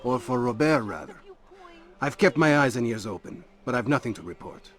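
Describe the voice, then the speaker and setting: A man playing a brotherly medieval assassin scout in a video game, with a husky voice. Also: medium pitch, animated, a little fast, close to an everyday voice. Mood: helpful, conspiratorial.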